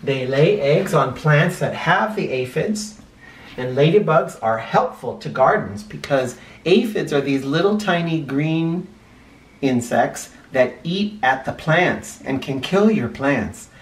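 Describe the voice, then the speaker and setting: Unhurried male teacher in a roomy recording. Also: a little high, lively intonation, slight background noise. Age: middle-aged. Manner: explanatory, emphasizes key words for young children. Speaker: first grade teacher. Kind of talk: children's lesson and picture book reading. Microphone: camera a short distance away. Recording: roomy, distant camera microphone.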